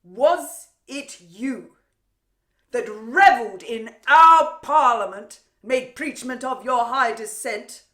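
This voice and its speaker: A woman performing a Shakespearean stage monologue as a vengeful warrior queen, theatrical and taunting, with drawn-out pauses, gloating over a captive. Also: mid-range voice, expressive, a bit bright. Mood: commanding, scornful. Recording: roomy, echoing untreated room.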